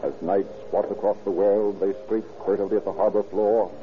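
An actor narrating a radio crime drama in a florid, ominous, theatrical style. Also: male voice, lowish voice, steady tone, brisk, slight background noise, lightly acted. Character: grim poetic male narrator of a crime tale. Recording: muffled old radio recording, music behind.

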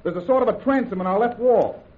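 A brave American adventurer in an old radio serial. He is urgent and protective, speaking in the earnest manner of 1930s drama. The sound is dull and low-fidelity. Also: fast talker, slightly rough. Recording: muffled vintage 1930s radio recording.

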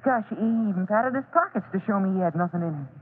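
Polite eager boy, high voice, gee-whiz radio serial, noisy tinny recording. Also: lively intonation, fast. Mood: insistent, baffled. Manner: eager, folksy, exclamatory. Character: earnest, excitable polite boy who dreams of firefighting. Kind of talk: old radio serial acting.

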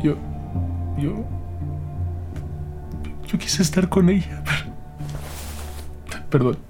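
A man playing a nervous, grieving politician under questioning in an audio drama, speaking haltingly with pauses. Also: high-pitched, expressive, a little mellow, faint music behind, full character voice. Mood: grieving, shaken. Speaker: actor in a detective audio drama. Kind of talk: dramatic podcast acting.

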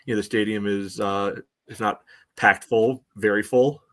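Guy co-hosting a sports pod, lowish voice, casual analysis, kinda halting with lots of you knows. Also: steady tone. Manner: halting, peppers talk with "you know". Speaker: podcast co-host, commentator.

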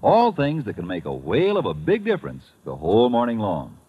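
An American man pitches in a folksy old-time radio ad style, with hugely animated pitch swings and a husky voice. The vintage recording sounds muffled. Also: brisk. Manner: chatty, emphatic, direct to listener. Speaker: commercial pitchman. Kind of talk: folksy 1950s radio advertisement.

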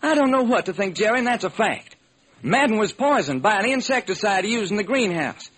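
Radio detective drama: folksy, baffled police lieutenant, high husky voice, earnest and slangy. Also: male voice, big pitch swings, a little fast, a bit bright, heavily acted. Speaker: actor. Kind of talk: old-time radio detective drama acting. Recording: noisy vintage radio recording.

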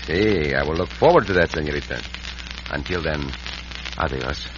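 An actor playing a courteous, gallant Mexican outlaw hero in an old radio western, with a Mexican accent and courtly phrasing. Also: male voice, rumbling low, lively intonation, normal speed. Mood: tender, gallant. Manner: courtly, formal phrasing with Spanish words. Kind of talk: 1940s-50s western radio acting. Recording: noisy, muffled vintage radio broadcast.

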